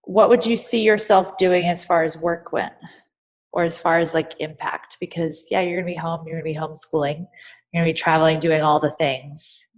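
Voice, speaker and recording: A woman's voice: sits low, fairly even intonation, a little fast, poor audio. A woman podcast host coaching a guest, asking probing questions in an encouraging way. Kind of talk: coaching conversation.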